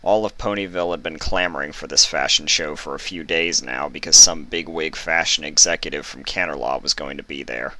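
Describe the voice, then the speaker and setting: A man who reads fan fiction, moving between casual introduction and expressive story narration. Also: very deep voice, a little fast, hardly any pauses.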